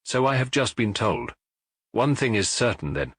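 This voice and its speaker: A man's voice: fairly low pitch, big pitch swings, moderate pace, a bit bright, slightly rough, no room sound, full character voice. A man voicing a self-satisfied, eager police inspector in an audiobook, with a pompous, formal, explanatory manner. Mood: self-important. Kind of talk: audiobook character voice.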